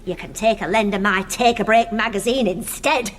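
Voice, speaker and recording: A woman's voice: medium pitch, moderate pace, a little hiss or hum, natural voice. An actress playing an irascible, blunt older woman, scolding shrilly in a farcical radio sitcom. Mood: outraged.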